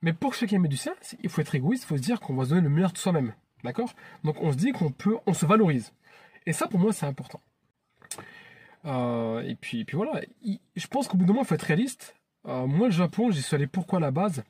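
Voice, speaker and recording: A man's voice: fairly high pitch, big pitch swings, fast, darkish tone, slightly rough. A man in his thirties from France, hosting his own channel in an earnest, chatty vlog monologue that often restarts phrases. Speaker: YouTube channel host.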